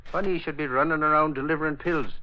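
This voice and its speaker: An actor playing a brash, talkative and rather dim Irish reporter in an old radio drama, with folksy, blustering, ungrammatical talk. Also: male voice, fairly high pitch, fairly even intonation, rapid-fire, bright, forceful tone. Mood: chatty. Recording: muffled, noisy old radio recording.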